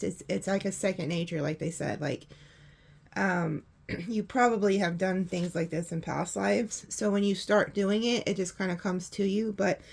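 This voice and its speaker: A woman with a hoarse voice, chatting casually through a tarot reading and laughing often. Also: on the low side. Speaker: tarot reader.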